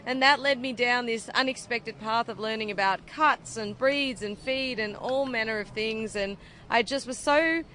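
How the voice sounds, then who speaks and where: A woman's voice: on the high side, moderate pace, bright, forceful tone, a little hiss or hum. A woman in her thirties with an Australian accent, explaining her barbecue cooking with enthusiasm in an outdoor interview at a food event. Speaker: barbecue cook.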